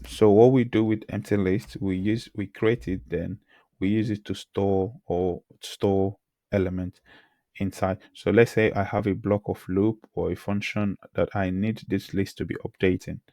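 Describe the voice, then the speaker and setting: A hoarse man who says he has lost his voice, teaching programming and talking through the code he types, often clearing his throat. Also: on the low side, mellow, no echo, studio quality. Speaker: programming tutor. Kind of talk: screen-recorded coding tutorial.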